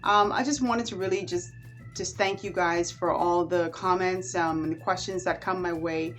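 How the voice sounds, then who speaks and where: A Bahamian woman talks straight to her viewers in a chatty, animated vlog. Her voice sits a little low for a woman. Faint music plays behind her. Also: normal speed. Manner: chatty and animated, with warm asides. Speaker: vlogger. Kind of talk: casual chat to camera.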